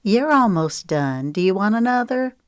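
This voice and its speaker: A sociable, breezy woman with a bright, lifted delivery, as a woman narrator puts her on. The voice is dry and close, with no room sound. It is audiobook dialogue. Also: mid-range voice, very animated, moderate pace, clean tone, dry recording, pitched above the performer's own voice, close to an everyday voice.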